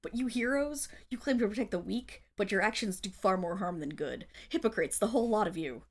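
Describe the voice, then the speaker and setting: A woman's voice: medium pitch, expressive, brisk. A woman playing a theatrical but bashful, lovestruck female supervillain in an ASMR roleplay audio drama. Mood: grand, menacing. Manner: theatrical villain declaiming that flips into flustered, affectionate chatter.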